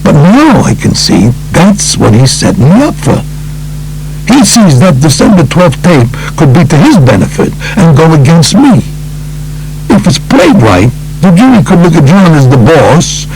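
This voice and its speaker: A man voicing a tough, aggrieved, profane mob underboss in an audiobook, blunt and streetwise, with a gravelly voice. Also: on the high side, darkish tone, a little hiss or hum, low-quality recording.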